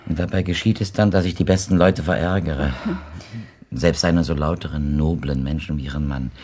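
An actor playing a blind male visitor in a radio play, formal and flowery, courteous yet insinuating, with a gravelly, husky voice. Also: very deep voice, fairly even intonation, fairly quick, mellow, a little hiss or hum. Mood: smoothly apologetic. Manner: formal, flowery, elaborately polite. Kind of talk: German radio play acting.

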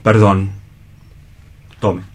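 A man's voice: low-pitched, little pitch movement, husky, heavily acted. An actor as an anguished, bitterly noble man. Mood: subdued, fragile. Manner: declamatory, solemn, deliberate phrasing. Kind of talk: theatrical radio play reading.

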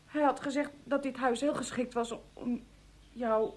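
An actress playing an anxious, high-strung wife in a radio play, agitated and emotional. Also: female voice, steady tone, measured pace, darkish tone, close to an everyday voice. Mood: defensive, upset. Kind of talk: Dutch radio play dialogue.

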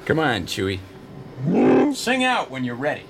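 An actor with an American accent playing a cynical starship captain in a space-opera radio drama, blunt, slangy and sardonic. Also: male voice, medium pitch, huge pitch swings, normal speed, very noisy. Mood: sardonic, pushy. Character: cynical, brash mercenary starship captain, a man.

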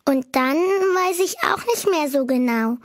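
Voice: sits high, animated, a little slow. An actor voicing a small, clingy, naive teddy bear with childlike, earnest talk in a children's audio play. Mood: sweet, naive. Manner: childlike, earnest, plaintive talk with playful made-up words. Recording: music behind the voice.

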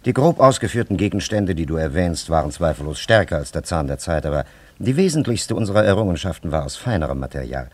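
An actor playing a solemn traveller from an ancient civilization in a German radio play, speaking in a stately, archaic, formal way with a gravelly, husky voice. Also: male voice, low voice, sing-song, fast, darkish tone, no echo. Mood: proud, courteous.

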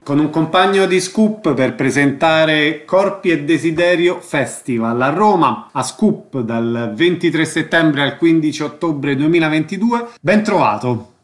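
A male radio interviewer with a fairly high-pitched voice. He puts short questions to guests and makes concise announcements as host. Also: a little slow, soft background music.

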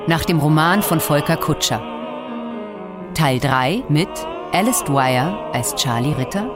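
A man's voice: on the high side, lively intonation, measured pace. A man announcing scripted radio drama credits in a formal way over music.

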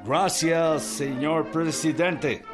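A man's voice: on the high side, steady tone, extremely slow, over music. An actor playing a polite, probing North American male newspaper correspondent in a historical radio drama, speaking formally and respectfully.

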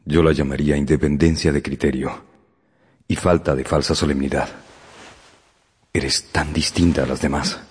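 An actor playing a proud, reserved gentleman in love in a Spanish-language radio drama, with a husky voice and grave manner, in long reverberation. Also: fairly even intonation, normal speed, pauses often, exaggerated voice. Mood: grave, tender. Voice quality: husky, very deep. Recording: long reverberation over faint music.

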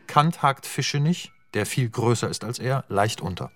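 A man's voice: medium pitch, animated, normal speed, fairly bright tone. A man narrating a radio play, reading out stage directions in a precise, matter-of-fact way.

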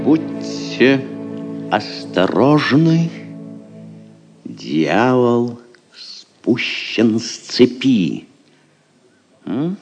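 Vengeful medieval prince, imperious, theatrical, very measured pace, swooping intonation, audio drama. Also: male voice, mid-range voice, exaggerated voice. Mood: alarmed, brooding. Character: haughty, vengeful medieval prince, brother of the king.

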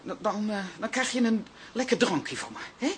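Woman playing a lively, flustered older grandmother. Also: medium pitch, moderate pace, heavy background noise, close to an everyday voice. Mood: flustered, apologetic. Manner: stammering, repeating words. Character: proud, stubborn, easily flustered older grandmother. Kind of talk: radio drama acting.

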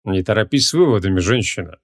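A man voicing a proud, smug ancient wizard in an audiobook, with a gruff, lordly and measured delivery. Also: deep voice, expressive, voice pushed down. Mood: commanding.

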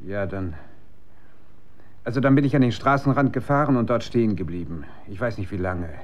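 A man's voice: on the low side, fairly quick, dull audio, natural voice. Actor as an earnest, troubled male scientist. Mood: unsettled, explaining. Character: troubled research scientist, principled, sceptical, unsettled. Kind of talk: German radio drama acting.

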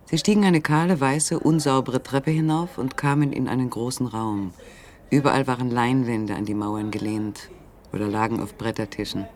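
An actor narrating a literary German radio play as a sober, measured third-person storyteller. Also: male voice, medium pitch, fairly even intonation, darkish tone, lightly acted.

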